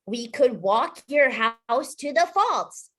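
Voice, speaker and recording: Woman playing an eager, high-voiced young boy scout. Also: animated, slow pace, fairly bright tone, heavily acted. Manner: earnest, childlike, talkative. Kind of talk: table read of an animated film script.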